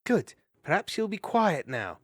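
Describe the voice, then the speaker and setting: A man's voice: high voice, sing-song, no echo, clean studio sound, pitched above the performer's own voice, full character voice. A man doing a fierce, hot-tempered mouse warrior maid. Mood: scolding. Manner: brisk and commanding.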